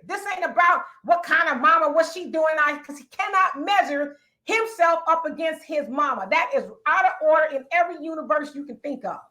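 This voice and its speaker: Blunt, emphatic high-voiced woman. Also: sharp tone. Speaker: podcast host. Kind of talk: casual opinion talk.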